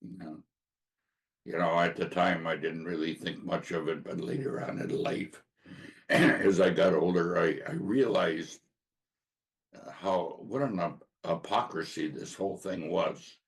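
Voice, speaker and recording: Brief: hoarse male podcast host, very low gravelly voice, slow and halting, earnest testimony. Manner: halting, with frequent filler pauses. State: hoarse from a sore throat. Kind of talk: casual faith testimony monologue.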